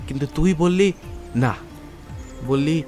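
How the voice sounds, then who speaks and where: A man's voice: fairly high pitch, slow, darkish tone. A man playing a poor, devoted, naive fifteen-year-old village boy in an audio drama, with plaintive, childlike rustic speech. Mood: pensive, affectionate. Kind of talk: audio drama acting of a Bengali story. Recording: music bed behind the voice.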